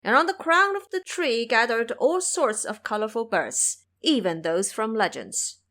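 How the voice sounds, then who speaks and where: A woman reading scripted audio drama narration as an expressive storyteller. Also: fairly high pitch, a little slow.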